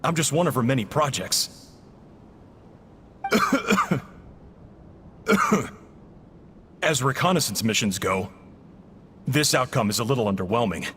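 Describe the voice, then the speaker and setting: A man's voice: mid-range voice, expressive, brisk, a bit bright, slight background noise, close to an everyday voice. A man voicing a sinister, mockingly amused villain agent in a video game, theatrical and sardonic, with long reverb on his voice. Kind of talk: dramatic video game voice acting.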